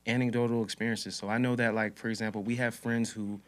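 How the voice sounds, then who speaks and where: A young man co-hosting a podcast, chatting casually with a relaxed, chuckling manner and frequent restarts. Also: on the low side, quick pace. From Haitian heritage. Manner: laid-back, chuckling, restarts. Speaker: podcast co-host. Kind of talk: casual chat.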